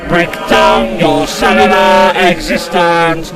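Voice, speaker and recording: A man playing a menacing, emotionless machine conqueror in a sci-fi audio drama, with slow, measured, literal pronouncements. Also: high-pitched, steady tone, poor audio. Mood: cold, grandiose. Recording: loud music underneath. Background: music.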